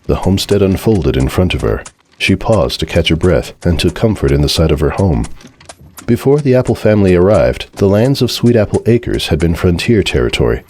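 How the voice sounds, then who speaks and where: A man's voice: sits low, a little mellow, slight background noise. A man reading a scripted story as narrator, in a dramatic storytelling style.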